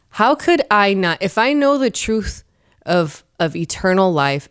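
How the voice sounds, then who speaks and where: Low, very clear-voiced woman, earnest. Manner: earnest, emphatic, preachy about faith. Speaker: podcast guest, comedian and performer. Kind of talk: casual interview talk. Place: studio.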